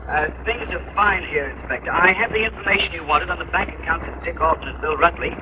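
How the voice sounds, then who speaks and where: An actor playing a polite, obliging bank official in a 1940s radio drama, courteous and businesslike. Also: male voice, a little high, fast talker, natural voice. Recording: dull, clearly noisy vintage radio recording.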